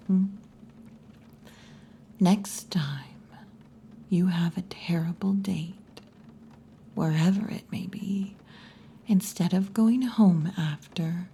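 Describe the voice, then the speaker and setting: A wry, muscular tomboy wolf girl bartender, played by a woman in an ASMR roleplay. She teases in a low, mellow, breathy near-whisper and takes her time, with very long, slow phrasing. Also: slight background noise. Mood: gentle, wry. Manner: slow, unhurried, wry and teasing.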